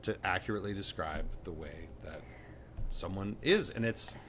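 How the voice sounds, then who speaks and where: A man's voice: low voice, moderate pace, fairly bright tone, slight background noise. A middle-aged man comedian on a casual comedic panel, talking in a rambling, hesitant way with dry wit.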